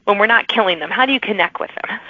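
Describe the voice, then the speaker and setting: A woman's voice: medium pitch, quick pace, no echo. Chatty woman host on a dull lo-fi line. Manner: chatty, laughs often, uses many fillers. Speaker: podcast host. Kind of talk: casual interview chat. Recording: dull lo-fi remote recording, dry.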